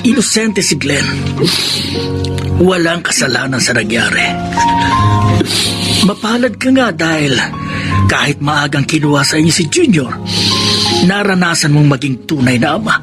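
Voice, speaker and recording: An old, pious grandfather with a slightly high voice and a Filipino accent. He speaks earnestly, like a counsellor in a radio drama, under very loud music. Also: very animated, measured pace, pauses often. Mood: gently admonishing. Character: old grandfather, patient, pious, gently admonishing.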